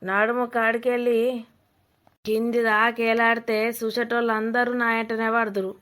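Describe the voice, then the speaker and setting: Woman acting a sharp-tongued Telangana village mother-in-law, high-pitched. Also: level tone, normal speed, natural voice. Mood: mocking, scolding. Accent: Telangana Telugu. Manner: blunt, scolding, mocking, rustic. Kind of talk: village comedy cartoon voice acting.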